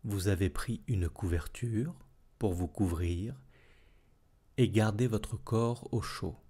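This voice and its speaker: A man leading a guided yoga nidra relaxation as instructor, speaking calmly and soothingly in measured phrases with long pauses. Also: lowish voice, slightly rough.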